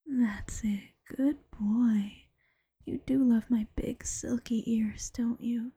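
A doting bunny-girl girlfriend, played by a woman, coaxes and teases in a slow, breathy whisper. Her hushed tone is dark and mellow, pitched a little high. The sound is close and dry, with no room around it. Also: fairly even intonation, no echo, heavily acted. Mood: teasing, coaxing. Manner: slow, coaxing and teasing. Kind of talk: ASMR roleplay.

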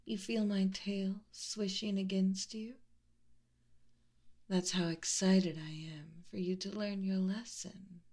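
A young woman voicing a teasing, commanding female spirit with a tail who keeps a library, speaking in a soft whisper with low laughs. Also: steady tone, slow pace. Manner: mocking, playful, commanding. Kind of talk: whispered audio roleplay.

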